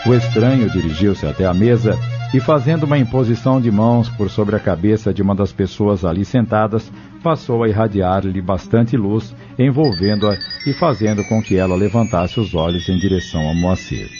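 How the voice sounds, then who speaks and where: A man's voice: lowish voice, normal speed, few pauses, over music. A man narrating an audio drama from a script. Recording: dull lo-fi audio drama recording.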